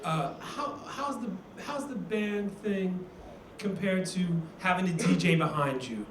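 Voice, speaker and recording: High male host voice, off-mic, echoey room, halting questions. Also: lively intonation, distant mic, background noise. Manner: hesitant questions with many fillers. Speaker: interview host. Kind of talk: casual interview questions.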